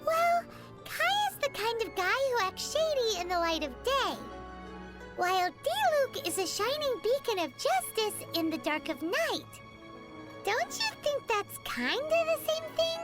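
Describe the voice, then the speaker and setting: Tiny game fairy, extremely high squeaky childlike voice, bouncy intonation, cheeky, excitable, music behind. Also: female voice, measured pace, exaggerated voice. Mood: chatty, curious. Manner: refers to self in third person, emphatic. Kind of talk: video game character dialogue.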